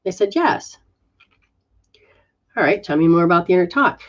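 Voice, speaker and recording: Soft-coaching woman healer, muffled sound. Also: on the low side, animated, normal speed. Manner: soft, coaching, repetitive phrasing. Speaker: metaphysical practitioner giving readings. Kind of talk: live guided reading and healing session.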